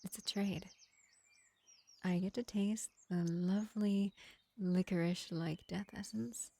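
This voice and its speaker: A woman playing a gentle, ancient pacifist vampire in an intimate fantasy roleplay, speaking in an unhurried, soothing half-whisper. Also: mid-range voice, fairly even intonation, gravelly, no echo. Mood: soft, confiding. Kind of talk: slow intimate ASMR-style fantasy roleplay.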